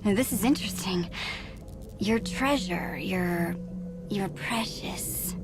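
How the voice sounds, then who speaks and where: A slow, creaky, taunting villainess. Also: female voice, mid-range voice, heavy background noise. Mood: taunting, sultry. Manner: sultry, mocking, drawn-out teasing. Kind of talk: dramatic game cutscene dialogue. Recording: loud music under the voice.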